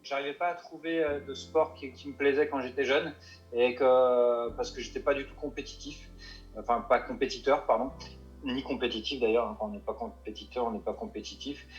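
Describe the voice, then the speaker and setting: A mountain guide answering interview questions, hesitant and full of restarts and fillers. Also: male voice, medium pitch, steady tone, a little fast, muffled recording, faint music behind. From Paris suburbs.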